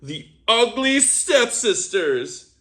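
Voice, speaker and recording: A man hosting a children's read-aloud, reading a picture book aloud as an animated storyteller who chats to young listeners between pages. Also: extremely high pitch, extremely slow.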